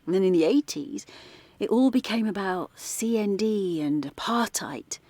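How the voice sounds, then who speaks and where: An actress playing a middle-aged former activist turned art teacher from London, talking softly late at night in a radio drama. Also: female voice, mid-range voice, animated, moderate pace, dry recording. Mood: reflective. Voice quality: soft, hushed late-night talk. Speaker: character in audio drama.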